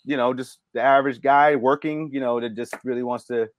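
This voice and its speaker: A middle-aged man, a barber guest, chatting casually on a video call and telling stories with lively acted-out quotes. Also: mid-range voice, fairly quick, lo-fi sound, a little hiss or hum. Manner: animated storyteller, acts out quoted lines. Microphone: video call mic.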